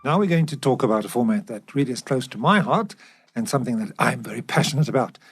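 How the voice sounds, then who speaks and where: A man talks as a podcast host. His voice sits at a middle pitch, and his delivery is that of an easy, conversational discussion. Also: expressive, fast, faint music behind. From South Africa.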